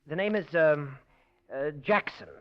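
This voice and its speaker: A man's voice: on the high side, unhurried, natural voice. An actor playing a scheming man who turns coldly menacing, in 1940s radio acting. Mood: hesitant. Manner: hesitant with fillers, then cold and quiet. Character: scheming murderous man, hesitant then menacing. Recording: dull old radio recording.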